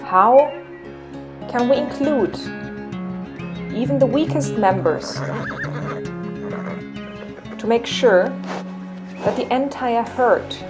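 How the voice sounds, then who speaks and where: Woman, German accent, voice-over narration, reflective, deliberate, slightly high voice. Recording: voice-over with music bed.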